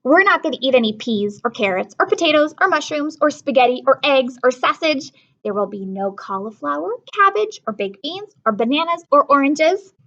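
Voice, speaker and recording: A woman voicing a clever, coaxing older brother in a storybook, expressive and mock-serious. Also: high-pitched, moderate pace, lo-fi sound. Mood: playful coaxing.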